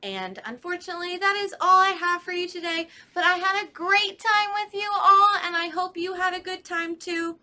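A woman's voice: very high voice, moderate pace, few pauses, bright, forceful tone. A young woman, a children's librarian, leading a storytime with rhymes in a sing-song, playful way, recorded indoors in a library by a camera a few steps away.

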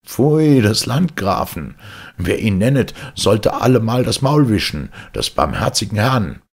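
A man voicing an angry village blacksmith who scolds his lord, in a raised, higher voice than his narration. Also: big pitch swings, unhurried, creaky, breathy edge, heavily acted. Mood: scornful.

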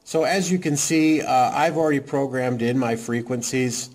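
A man casually walking through a how-to demo, talking in a halting way with many fillers. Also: mid-range voice, steady tone, darkish tone. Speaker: radio hobbyist demonstrating programming. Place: indoor desk, off camera.

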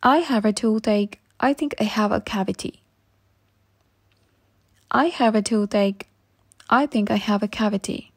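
A woman reading short English practice phrases slowly and saying each one twice for learners. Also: mid-range voice. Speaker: English teacher.

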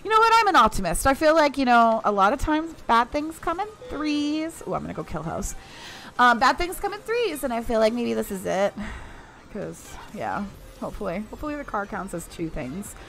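A woman's voice: fairly high pitch, very animated, moderate pace, a bit bright, faint music behind. A young woman streaming a mobile shooter, chatting casually with viewers and laughing often. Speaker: game streamer. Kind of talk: casual live-stream chat while playing.

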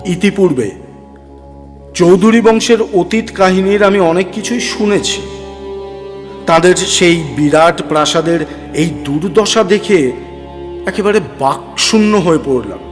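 A man with a high-pitched voice narrates a dramatic story in a measured, literary way. His voice carries a long reverb, and music plays underneath. The delivery is theatrical and scripted.

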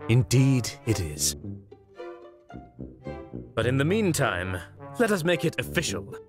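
An actor playing an earnest, loyal knight in a video game, formal, noble and measured. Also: male voice, mid-range voice, wildly swooping pitch, music in the background. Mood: solemn. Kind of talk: video game character acting.

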